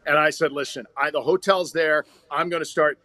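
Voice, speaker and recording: A man's voice: fairly high pitch, steady tone, brisk, pushed and bright, no room sound. An American man, a radio hockey announcer, talking and telling stories conversationally as a podcast guest. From Minnesota, United States.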